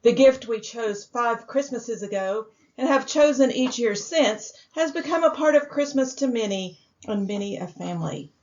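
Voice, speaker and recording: A woman announces for an old radio sponsor with gracious formality. Her voice is slightly high, and the recording is dull. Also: expressive, normal speed, darkish tone. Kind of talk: scripted vintage radio announcement.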